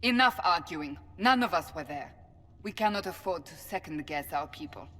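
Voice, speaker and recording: A woman's voice: fairly low pitch, expressive, normal speed, bright, forceful tone. A woman playing a blunt, impatient soldier in a video game, clipped and authoritative. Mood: impatient, forceful. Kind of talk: video game cutscene acting. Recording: reverberant hall, faint ambient noise.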